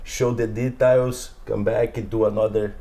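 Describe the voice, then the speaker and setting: Deep, mellow Brazilian man, halting English. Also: little pitch movement. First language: Portuguese. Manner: halting, simple non-native English, searching for words. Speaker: interview guest, jiu-jitsu athlete. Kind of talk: casual conversation.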